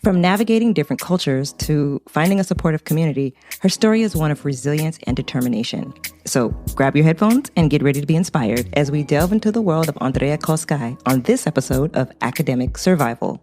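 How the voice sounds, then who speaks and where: A woman's voice: low voice, animated, a little fast, mellow. A woman hosting a podcast, interviewing a guest in an upbeat, encouraging way. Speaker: podcast host.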